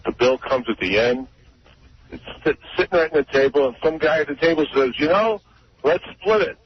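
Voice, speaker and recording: Husky male radio caller. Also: fairly high pitch, a little fast. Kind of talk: casual anecdote. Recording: dull muffled call-in radio audio.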